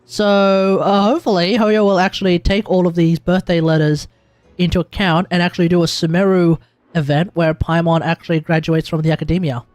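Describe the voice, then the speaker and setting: A man with an Australian accent giving casual, excitable, joking live commentary on a game, repeating himself for emphasis. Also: sits high, brisk, dry recording, soft background music. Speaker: streamer.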